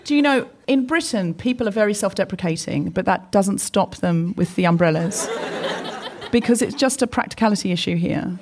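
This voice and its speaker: A woman's voice: medium pitch, expressive, a little fast, background noise. A woman co-hosting a live comedy podcast on stage, chatty and anecdotal, acting out both sides of the conversations she retells. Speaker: podcast co-host. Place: live stage before an audience.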